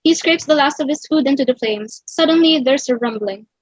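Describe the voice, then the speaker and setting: A woman's voice: high voice, fairly quick, dry recording, muffled recording. A woman narrator reading out stage directions in scripted narration for a theatrical table read.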